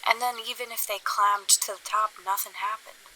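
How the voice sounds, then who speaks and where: Actress as weary pragmatic woman, very bright crisp-edged voice, frank measured delivery, audio drama, faint hiss. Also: medium pitch, fairly even intonation, poor audio. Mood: jaded.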